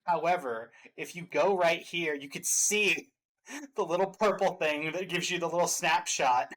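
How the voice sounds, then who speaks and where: A man's voice: a little high, measured pace, a bit bright, poor audio. A man hosting a casual reaction show, explaining game trailers to his audience.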